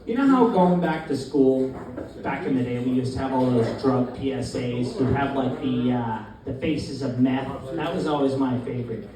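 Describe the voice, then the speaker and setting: A young man from Newfoundland doing a first stand-up set in a deadpan, halting way, with many fillers. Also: medium pitch. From Newfoundland, Canada. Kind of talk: open-mic stand-up comedy. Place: small comedy club, in front of a live crowd. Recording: very echoey club, distant mic, crowd. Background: audience laughter.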